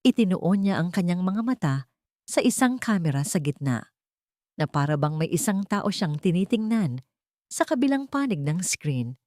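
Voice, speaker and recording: A woman narrating a scripted romance drama with dramatic pauses. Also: fairly low pitch, very animated, darkish tone, no echo, clean studio sound.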